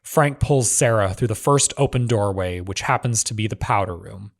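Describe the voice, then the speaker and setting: A man narrating screenplay stage directions in measured, clipped phrases. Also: fairly low pitch, creaky.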